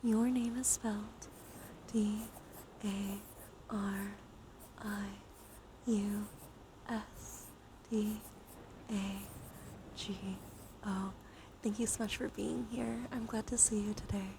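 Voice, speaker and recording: Soft whispery woman doing ASMR, noisy background. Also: medium pitch, takes it slowly, pauses often. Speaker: live streamer. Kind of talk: ASMR, soft chatty livestream talk. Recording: clear steady background noise.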